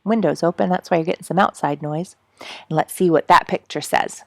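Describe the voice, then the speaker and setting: A woman reading a children's story aloud with expressive, emphatic storytelling. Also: lowish voice, a little fast, vocal fry, dry recording, studio quality. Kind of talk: read-aloud storybook narration.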